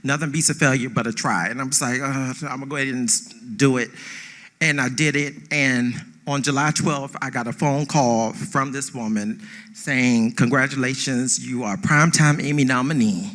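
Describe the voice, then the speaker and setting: A man's voice: mid-range voice, fairly even intonation, normal speed, fairly bright tone. A man giving an emotional, off-the-cuff acceptance speech on stage, speaking emphatically and repeating phrases for effect. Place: on stage at a theatre awards ceremony.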